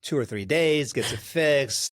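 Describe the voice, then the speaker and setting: A man in his sixties, a podcast guest, recalling his childhood in an unhurried way with frequent pauses. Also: medium pitch, no echo, studio quality. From Korea. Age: 60s. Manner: unhurried, pausing with ums while recalling. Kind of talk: storytelling interview.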